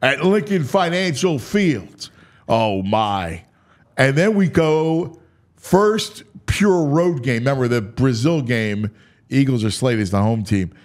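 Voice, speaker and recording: A man's voice: medium pitch, expressive, slow. An American man hosting a sports talk show, breaking down a schedule with theatrical, announcer-like proclamations and casual asides. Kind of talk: dramatic, animated schedule breakdown.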